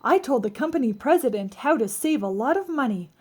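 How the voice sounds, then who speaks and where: Lady reader doing a flustered storybook dad, excitable, gets alarmed easily, voice goes way up and all over. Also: high-pitched, fairly quick, breathy edge. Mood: pleased. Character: excitable, flustered married man, an office worker.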